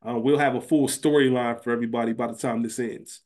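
A man's voice: mid-range voice, fairly even intonation, fast, a little mellow. A man chatting casually and emphatically as a podcast co-host, conversational in his delivery. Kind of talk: casual chat and recap.

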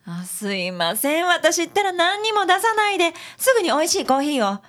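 A woman plays an easygoing female assistant at a detective office in a radio drama. She has a Kansai accent and a high, very animated, friendly voice. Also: fairly quick, exaggerated voice. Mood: polite, flustered.

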